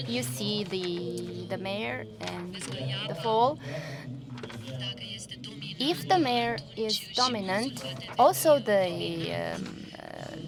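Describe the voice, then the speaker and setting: A woman interpreting a speaker into English in a measured, careful way, pausing to find words. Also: mid-range voice. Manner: measured, careful delivery with pauses. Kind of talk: simultaneous interpreting. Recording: audience crowd noise behind.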